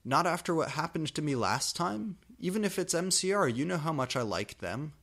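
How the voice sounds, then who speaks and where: A man voicing a melodramatic, petulant teenage goth girl in a story reading, exclaiming loudly. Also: a little high, animated, normal speed, gravelly, pitched above the performer's own voice, heavily acted. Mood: indignant.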